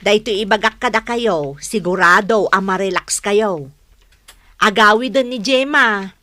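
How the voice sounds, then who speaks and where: A woman with an Ilocano accent playing a lively, plain-spoken grown daughter and elder sister in a radio comedy drama. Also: mid-range voice, unhurried, no room sound. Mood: matter-of-fact. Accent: Ilocano, northern Philippines.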